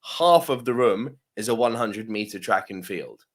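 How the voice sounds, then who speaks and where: A man's voice: on the low side, lively intonation, moderate pace. A man co-hosting a podcast, telling a long story casually, swearing freely, laughing often and asking "yeah?" as he goes. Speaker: podcast co-host.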